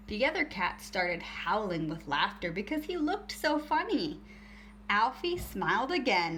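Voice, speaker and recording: A woman teacher reading a picture book aloud to young children in an expressive, deliberate storytelling way. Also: fairly high pitch, slight background noise.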